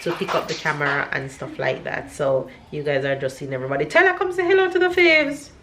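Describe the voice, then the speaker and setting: A woman's voice: deep voice, sing-song, fairly quick, a bit bright. A Jamaican woman in her thirties with a stuffy nose, chatting casually to her camera and her kids. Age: 30s. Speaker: family vlogger. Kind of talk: casual family vlog. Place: home kitchen. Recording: echoey home room with noise.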